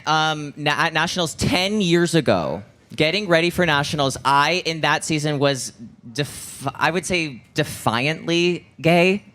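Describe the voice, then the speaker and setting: A man's voice: fairly high pitch, a little slow, bright, forceful tone. A man in his thirties with an American accent, telling stories casually as co-host of a live podcast, often saying "like". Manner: conversational, peppered with "like". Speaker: podcast co-host and former competitive figure skater. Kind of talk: casual chat and storytelling at a live show. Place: live podcast show before an audience. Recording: noisy live-show audio.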